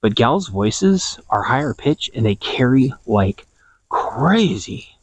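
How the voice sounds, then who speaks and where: A man in a rambling casual monologue outdoors in a yard, keeping his voice low and quiet, not projecting, with many restarts. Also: very animated, slow pace, dry recording. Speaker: prepping vlogger. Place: outdoors in a yard, watering trees.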